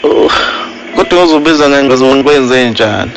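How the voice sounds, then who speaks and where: A man with a KwaZulu-Natal South African accent talks quickly on a faintly noisy radio call. He stumbles and repeats himself as he earnestly presses a mock complaint, keeping at it persistently. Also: mid-range voice. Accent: South African Zulu-speaking, KwaZulu-Natal. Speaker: radio prank caller.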